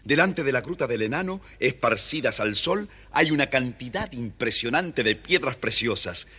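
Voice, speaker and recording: A man's voice: medium pitch, expressive, normal speed, bright, forceful tone, slightly rough. A man with an Argentine accent, narrating a children's story dramatically as the storyteller.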